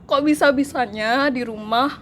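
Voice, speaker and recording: A woman's voice: high-pitched, normal speed, a bit bright, slight background noise. A woman, chatting casually and giggling as she tells a spooky story. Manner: giggly, laughs through her story. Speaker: co-host telling ghost stories. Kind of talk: casual chat.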